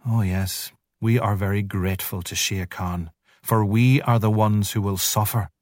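A man voicing a calm, wise mother wolf who speaks quietly with a bitter edge. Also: fairly low pitch, a little slow, no echo, clean studio sound, natural voice.

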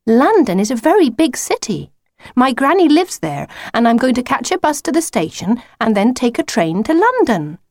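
A woman's voice: high-pitched, big pitch swings, moderate pace, a little mellow, dry recording, studio quality, voice pushed up. A woman voicing a stubborn, unimpressed schoolgirl in a children's story, with a firm, matter-of-fact manner. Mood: cross, firm.

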